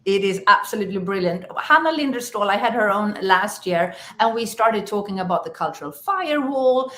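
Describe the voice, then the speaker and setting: A woman's voice: medium pitch, lively intonation, a little fast, pushed and bright. Upbeat lady host on a livestream podcast, gushy, room echo. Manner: enthusiastic, effusive. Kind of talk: live stream interview. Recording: echoey room.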